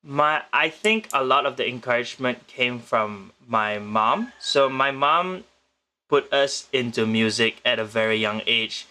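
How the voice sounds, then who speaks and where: A young man from Penang, Malaysia, a guest musician answering interview questions in a measured, thoughtful way, often restarting his sentences. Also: medium pitch, sharp tone. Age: young adult. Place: remote location in the Philippines.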